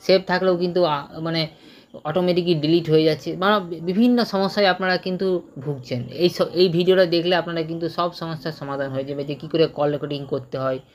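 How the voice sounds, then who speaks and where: A man's voice: on the high side, steady tone, fast, slight background noise. A man with an Indian Bengali accent giving an informal, unscripted tutorial, repeating himself and stumbling over words as he checks that listeners follow. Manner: repetitive, stumbling, with frequent tag questions. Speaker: tech tutorial presenter.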